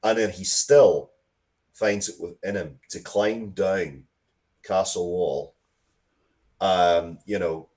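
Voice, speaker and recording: A man with a low voice talks as an interview guest. He tells stories with emphasis and rambles a little, often breaking off and starting a sentence again. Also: takes it slowly, long pauses, darkish tone. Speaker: guest, writer of Dracula media. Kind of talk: animated interview talk, storytelling.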